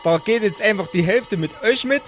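An impatient, sarcastic woman, played by a man in a high-pitched voice. The character bargains with exasperation over faint music in an improvised audio comedy. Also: animated, normal speed, fairly bright tone. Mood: insistent. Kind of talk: improvised audio drama acting.

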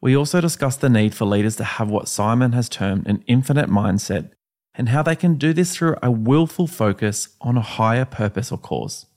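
An Australian man, the podcast host, reading a steady, upbeat episode introduction. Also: medium pitch, moderate pace.

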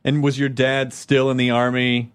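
A man's voice: mid-range voice, flat delivery, moderate pace, clean tone. Joking male podcast host. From Memphis, Tennessee. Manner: joking, teasing. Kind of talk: casual podcast interview.

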